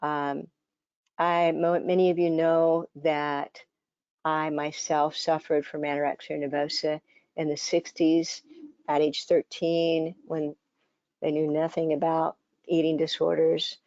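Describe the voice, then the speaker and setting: An older woman in her seventies gives a relaxed, chatty conference talk in a low, gravelly, scratchy voice. She often restarts sentences and fills pauses with little hesitations. The recording is dry and a bit dull. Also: no room sound. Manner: conversational, frequent fillers and restarts. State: hoarse, scratchy voice. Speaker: dietitian presenter. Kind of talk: conference presentation.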